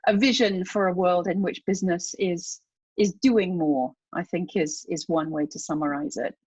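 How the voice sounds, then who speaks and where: Female podcast host, low-ish husky voice, affirming and light, dull dry audio. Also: moderate pace, no echo. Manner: warm affirming replies, gentle joking. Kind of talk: interview hosting.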